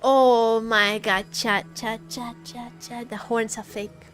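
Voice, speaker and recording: A woman's voice: a little high, flat delivery, slow, a bit bright. A woman giving casual, playful game commentary to her chat, often repeating words and phrases. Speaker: game livestreamer. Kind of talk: casual reaction commentary to chat while gaming. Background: faint game music.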